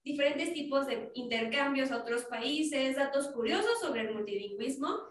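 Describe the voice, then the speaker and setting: A woman's voice: high-pitched, lively intonation, normal speed, far from the mic. A young woman hosting a casual podcast, chatty, with many fillers. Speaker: podcast host. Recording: echoey room, voice a bit off-mic, faint noise.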